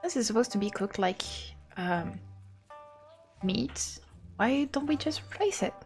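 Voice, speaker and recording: A woman's voice: fairly high pitch, lively intonation, unhurried, faint music behind. A woman game streamer chatting casually on a live stream and reacting aloud to game dialogue.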